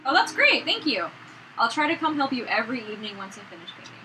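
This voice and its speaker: A woman's voice: on the high side, sing-song, fast talker, far from the mic. A woman voicing an eager, friendly young man who works as a shop assistant, reading for a visual novel let's play. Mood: eager, grateful. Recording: noisy room recording, no proper mic.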